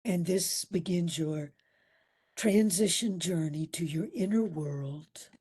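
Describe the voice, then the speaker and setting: A woman instructor leading a guided meditation, soothing and deliberate, with long pauses and a breathy voice. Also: fairly low pitch, mellow. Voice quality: breathy, at times near a whisper.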